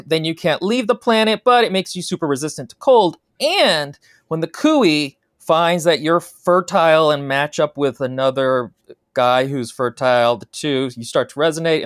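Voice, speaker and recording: A man's voice: fairly high pitch, fairly bright tone, no room sound. A man in his thirties co-hosts a podcast from a small room at home. He gives a casual plot summary, recorded close and very dry. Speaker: podcast co-host.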